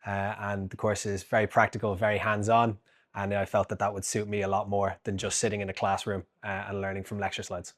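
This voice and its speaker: A man in his twenties, a graduate student, giving a reflective testimonial with frequent pauses and fillers. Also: lowish voice, completely flat delivery. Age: 20s.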